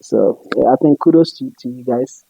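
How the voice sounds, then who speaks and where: A man's voice: mid-range voice, moderate pace, gravelly, warm tone, highs cut off. A young man from Ghana whose accent mixes Ghanaian and international English, chatting casually as an interviewer and often laughing. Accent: Ghanaian mixed with international English. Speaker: interviewer and web show host.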